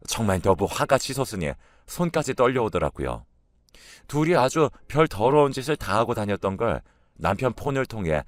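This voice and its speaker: A man's voice: lowish voice, no room sound, clean studio sound. A man reading a scripted first-person story as its narrator.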